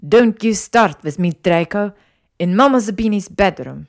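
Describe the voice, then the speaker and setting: A woman's voice: mid-range voice, lively intonation, normal speed, a little mellow, pitched above the performer's own voice, lightly acted. A woman voicing an imperious, scolding older female ghost, a rich widow with an Italian accent, in a story reading. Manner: haughty, scolding. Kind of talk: fan fiction audiobook character voice.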